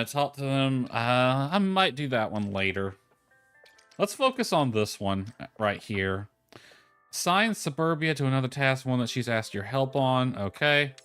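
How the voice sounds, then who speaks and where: A man's voice: mid-range voice, fairly bright tone, clear, resonant tone, no echo. Casual male game streamer over background music. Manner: rambling, thinking aloud. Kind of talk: casual live gameplay commentary.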